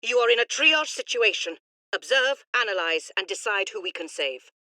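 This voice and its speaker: A woman's voice: medium pitch, animated, moderate pace, bright, forceful tone, no echo, studio quality. A woman playing a weary, hard-headed battlefield medic in a game audio log, blunt and pragmatic. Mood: firm, blunt.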